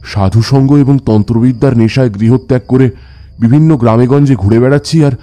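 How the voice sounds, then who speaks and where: A man playing a seasoned tantric who tells his tales in a measured, reverent manner for an audio horror story. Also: medium pitch, mellow, natural voice. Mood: reflective, eerie. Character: Taranath, seasoned male tantric recounting his past.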